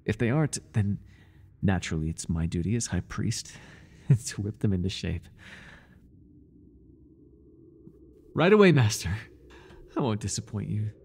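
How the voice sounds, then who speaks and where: A man playing an obsessive, groveling high priest who serves a dark master, speaking in a pleading, servile way in an intimate ASMR roleplay. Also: big pitch swings, moderate pace, a little hiss or hum. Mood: smug, fawning devotion. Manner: pleading, servile, breathless with devotion.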